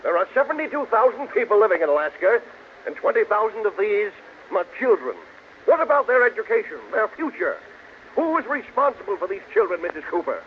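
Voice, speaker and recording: A man's voice: high-pitched, sing-song, brisk. A man playing an earnest, insistent forum expert with oratorical delivery in a 1940s radio comedy. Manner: oratorical, rhetorical. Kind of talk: 1940s radio sitcom acting. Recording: tinny, very noisy old radio recording.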